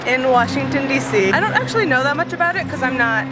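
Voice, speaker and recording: A young woman answers hesitantly, opening with "um", her voice slightly high. Loud music and crowd noise are all around her. She is being asked questions in a street interview. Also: lively intonation, fast. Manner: hesitant, starts with "um".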